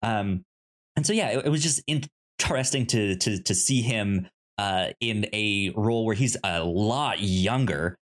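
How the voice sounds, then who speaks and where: A man co-hosting a podcast, discussing films casually in a halting, stammering way and often repeating words. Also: fairly low pitch. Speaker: podcast co-host.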